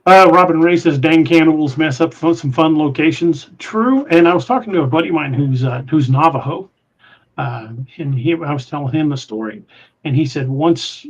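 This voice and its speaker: Give me a man with a twang and a slightly high voice, hosting a podcast and rambling through listener comments with lots of restarts. Dull, lo-fi recording. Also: moderate pace. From Missouri, USA. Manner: rambling, hesitant with many restarts. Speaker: podcast host. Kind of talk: casual live-stream chat reading comments.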